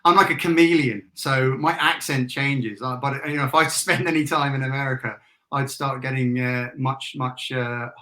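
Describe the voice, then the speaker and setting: A chatty British man in his forties with a faint Irish lilt, laughing as he tells stories about himself. His voice is bright and clear at an ordinary pitch. He often stops and restarts mid-sentence. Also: fairly even intonation, moderate pace. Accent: British, with a trace of Irish. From England. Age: 40s. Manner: chatty and self-deprecating, laughs often, with many restarts and fillers. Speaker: guest, retired army major and business founder. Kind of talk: casual interview chat.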